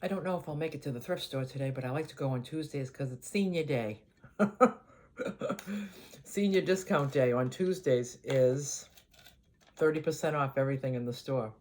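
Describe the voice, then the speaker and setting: An older woman with a Boston accent, chatting casually to her channel in an easygoing, rambling way, often letting her voice trail off quietly. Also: extremely low pitch, moderate pace, long pauses, slight background noise. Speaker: vlogger. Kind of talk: casual chat to camera.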